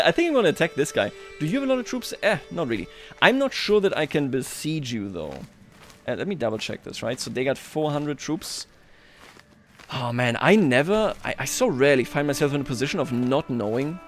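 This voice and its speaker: A man hosting a livestream, chatting casually and thinking aloud over a strategy game in a wry, playful way. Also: mid-range voice. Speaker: livestream host.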